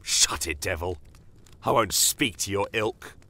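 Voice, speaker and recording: A man's voice: low voice, expressive, measured pace, pushed and bright, slight background noise, exaggerated voice. A man voicing a spiteful, foul-mouthed human prisoner of war in a fantasy audio drama, curt and spitting insults. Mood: hostile.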